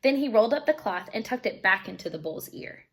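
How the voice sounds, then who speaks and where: A woman with a fairly high voice reads a children's story aloud. She narrates expressively, as a teacher would for a class. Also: expressive, fairly quick.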